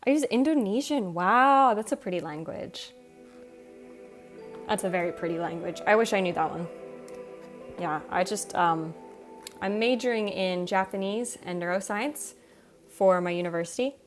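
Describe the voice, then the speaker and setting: A woman's voice: mid-range voice, normal speed, long pauses. Young woman streamer chatting over background music. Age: young adult. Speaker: live streamer. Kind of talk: casual livestream chat. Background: soft video game music.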